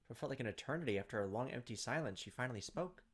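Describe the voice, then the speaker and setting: A man reads a visual novel aloud in a hushed, suspenseful way, lingering on phrases and slipping into casual remarks. His voice is medium in pitch. There is clear noise behind him, with clicks and chirping insects. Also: lively intonation, racing. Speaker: gaming video host reading a visual novel aloud. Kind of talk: dramatic narration of game text with casual commentary. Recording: clearly noisy home recording, clicks, insect sounds.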